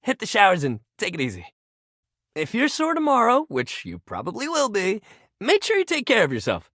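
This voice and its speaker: An upbeat, supportive male fitness buddy for a video game. His voice sits fairly high and swings wildly in pitch. He talks in casual bro slang, peppy and encouraging, in a dry recording. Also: wildly swooping pitch, moderate pace, a bit bright, dry recording, full character voice. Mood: caring, encouraging. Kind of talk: video game character voice lines.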